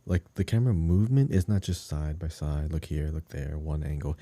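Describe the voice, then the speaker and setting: A man with a deep, very dark and mellow voice talks as a podcast co-host. He rambles casually about gaming, building his descriptions in short pieces, and the sound is dry and free of room echo. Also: brisk, slightly rough. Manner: rambling, piecing descriptions together in short phrases. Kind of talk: casual chat about a video game.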